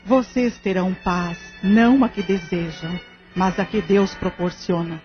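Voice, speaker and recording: Actress as calm, authoritative spiritist group leader. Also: female voice, on the low side, natural voice. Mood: calm, firm. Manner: measured, gently firm. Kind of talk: audio drama acting. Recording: dull lo-fi audio. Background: background music.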